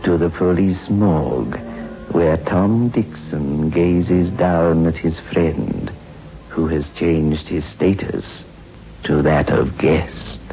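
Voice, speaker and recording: A dull old radio recording with faint music behind. a mock-genteel, sinister horror storyteller with a gravelly creak, drawing out every phrase with sardonic relish for the macabre. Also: male voice, very deep voice, slow, dark tone, exaggerated voice. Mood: macabre amusement. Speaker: host. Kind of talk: 1960s radio horror hosting.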